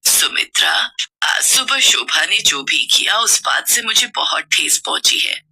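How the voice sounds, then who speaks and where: A caring elderly mother-in-law, voiced by a woman, gives motherly advice in a soft, lowered voice. The tone stays bright and clear. It suits a children's cartoon story. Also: very animated, measured pace, a little hiss or hum, full character voice. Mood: gentle.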